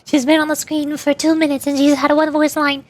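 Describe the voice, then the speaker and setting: A woman's voice: sits high, little pitch movement, a little fast. A woman streamer chatting casually and rambling, often giggling as she answers her chat.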